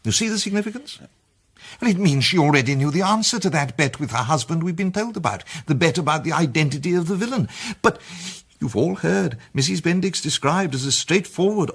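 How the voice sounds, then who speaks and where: A man playing a confident amateur detective in a British radio drama, setting out his case in a deliberate, emphatic, theatrical way. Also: a little high, fairly bright tone, husky, full character voice. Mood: emphatic, triumphant.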